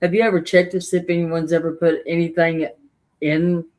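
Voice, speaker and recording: A woman's voice: lowish voice, level tone, brisk, darkish tone. A woman co-hosting a casual livestream chat, asking guests questions in a friendly way. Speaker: co-host.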